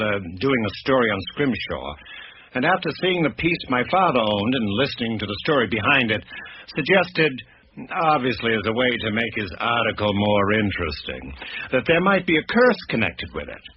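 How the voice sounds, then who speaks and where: An actor playing a grave heir recounting his family's cursed heirloom tale in measured, literary radio-drama storytelling. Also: mid-range voice, very animated, slight background noise, close to an everyday voice. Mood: matter-of-fact. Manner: measured, literary, deliberate delivery. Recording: muffled radio drama with music underneath.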